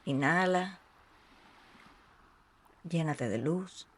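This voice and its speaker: A meditation guide speaking in a soothing, unhurried way with long pauses between short phrases. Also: medium pitch, animated, a little hiss or hum. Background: ambient sea sounds.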